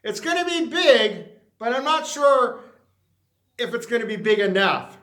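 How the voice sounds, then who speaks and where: A man's voice: very high-pitched, big pitch swings, measured pace, a bit bright, exaggerated voice. Man playing a doubtful grown-up mouse, echoey. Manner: hesitant, cautionary. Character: doubtful, advising grown-up male mouse, dad or uncle. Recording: roomy, echoey sound.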